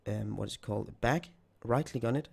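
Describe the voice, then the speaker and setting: A man's voice: mid-range voice, fairly quick, creaky, slightly rough. A man who is nearly losing his voice, talking through a coding tutorial while he types. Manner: thinks aloud while typing code. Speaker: programming tutor. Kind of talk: screen-recorded coding tutorial.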